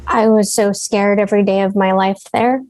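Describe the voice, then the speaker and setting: A woman reading the part of a young Vietnamese woman recalling her childhood, earnest and halting. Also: mid-range voice, fairly even intonation, a little mellow, no echo, lightly acted. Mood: fearful. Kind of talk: table read of a drama script.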